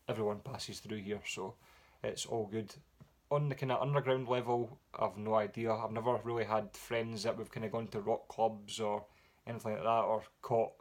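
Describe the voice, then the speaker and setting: A man with a Scottish accent answering viewer questions in a relaxed, rambling way with frequent pauses and fillers. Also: fairly low pitch. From Scotland. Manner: relaxed, rambling with many ums. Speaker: music vlogger. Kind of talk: casual Q&A vlog.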